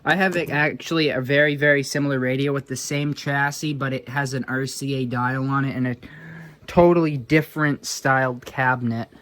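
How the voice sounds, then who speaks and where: A man's voice: medium pitch, fairly even intonation, moderate pace, fairly bright tone. Man talking casually through a repair. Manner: informal, thinking aloud. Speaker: hobbyist radio repairer. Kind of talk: casual hands-on repair commentary. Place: indoors.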